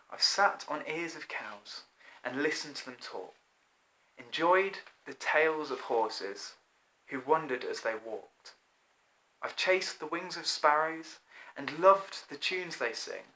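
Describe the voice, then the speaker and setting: A man voicing a gentle, moralising fly in a children's story, speaking in solemn, measured speeches. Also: fairly high pitch, heavily acted, low-quality recording.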